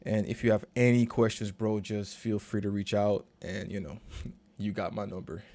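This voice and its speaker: A man, a recording and mixing engineer, casually walking through a session in a relaxed, slangy, conversational way. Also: fairly low pitch, moderate pace, no echo. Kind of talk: casual screen-share walkthrough.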